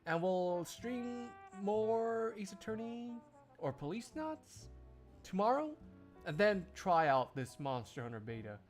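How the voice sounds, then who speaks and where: A man's voice: sits high, sing-song, takes it slowly, faint music behind. A man livestreaming, chatting casually to his viewers in a jokey, rambling, exclamatory way. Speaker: live streamer.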